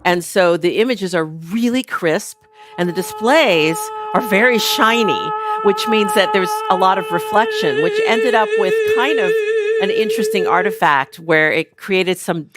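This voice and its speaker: A woman's voice: medium pitch, very noisy. A woman artist giving an informal seminar talk about her work, chatty and full of fillers and restarts. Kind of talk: informal lecture. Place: seminar room.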